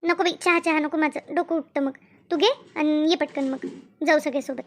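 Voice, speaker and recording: A woman voicing a brisk, bossy yet friendly village woman in a comedy cartoon, chatty and repetitive, with a Maharashtrian accent. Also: sits high, steady tone. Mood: brisk, organising. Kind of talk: Marathi comedy cartoon dialogue.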